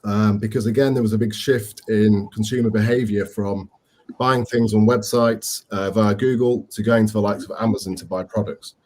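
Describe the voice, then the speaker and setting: A man in his forties with a Leeds accent, a company co-founder speaking on an online panel, relaxed, with frequent ums and restarts. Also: lowish voice, moderate pace. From England. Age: 40s.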